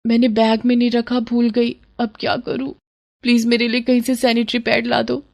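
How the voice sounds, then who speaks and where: A woman's voice: fairly high pitch, completely flat delivery, moderate pace, gravelly, a little mellow, pitched above the performer's own voice. A woman storyteller voicing an anxious young college girl with a fretful, pleading manner.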